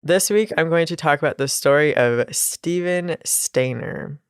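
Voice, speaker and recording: Congested nasal woman, podcast co-host, smooth, dry close sound. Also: sits low, unhurried, dry recording. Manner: laughs often, reads a story with asides. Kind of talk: casual true crime podcast storytelling.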